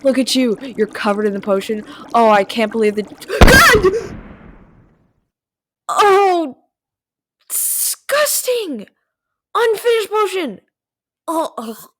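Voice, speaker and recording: A woman's voice: sits high, big pitch swings, slow pace, pauses often, a bit bright, heavily acted. A woman voicing a mischievous male trickster witch who teaches potion-making in an audio roleplay. The witch snickers, teases and stumbles over hesitant fillers. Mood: flustered, disgusted. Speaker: potion tutor.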